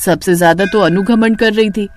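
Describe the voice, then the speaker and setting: A woman's voice: medium pitch, fairly even intonation, normal speed, soft background music. A woman narrator reading a scripted moral story.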